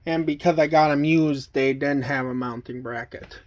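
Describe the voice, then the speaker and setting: A man casually showing items from a collection in a plain, halting, item-by-item way. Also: mid-range voice, fairly even intonation, lo-fi sound. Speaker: collector showing weather radios. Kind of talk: casual show-and-tell vlog.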